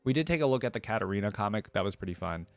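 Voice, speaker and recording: Male reaction host, lowish pitch, dry recording; reads aloud, then riffs informally, thinking out loud. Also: animated, rapid-fire, gravelly, dry recording. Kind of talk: reading wiki text aloud with casual commentary.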